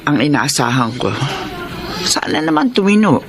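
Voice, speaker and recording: Weary middle-aged mother, confiding and envious, radio drama lament over clear ambient noise. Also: low-pitched, sing-song, moderate pace. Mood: bitter, resigned. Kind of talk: Filipino radio drama acting.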